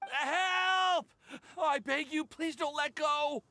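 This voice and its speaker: A man's voice: very high-pitched, slow pace, pushed and bright, a little hiss or hum, exaggerated voice. An actor playing a nervous, apologetic young man in love, stammering and earnest in a video game cutscene. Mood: panicked. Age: young adult.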